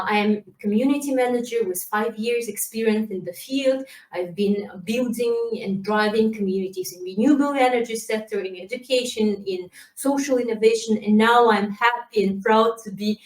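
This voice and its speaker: A woman's voice: mid-range voice, normal speed. Chatty woman, roomy far-off sound. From Kazakhstan. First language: Kazakh. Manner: lively, chatty, many fillers and restarts. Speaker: community manager giving a talk. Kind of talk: casual conference presentation. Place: presenting remotely from a laptop. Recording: roomy and distant from the mic.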